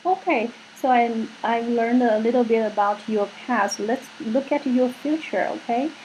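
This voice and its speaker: A woman's voice: on the high side, measured pace, darkish tone, slight background noise, poor audio. A woman interviewing a student, who asks questions in an encouraging way and keeps prompting him along. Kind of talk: admissions interview.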